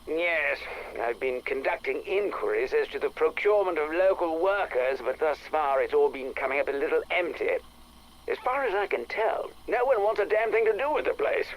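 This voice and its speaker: An actor playing a jaunty, pompous gentleman adventurer with an upper-class English accent, eager and boastful, in a game audio log. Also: on the high side, lively intonation, moderate pace, poor audio. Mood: jovial, eager.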